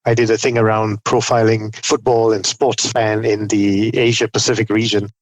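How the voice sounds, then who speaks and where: A man's voice: lowish voice, little pitch movement, normal speed, no echo, poor audio. A middle-aged man from Malaysia, a podcast guest telling his life story in a chatty, self-deprecating way. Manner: chatty, self-deprecating, quotes himself often. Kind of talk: conversational storytelling.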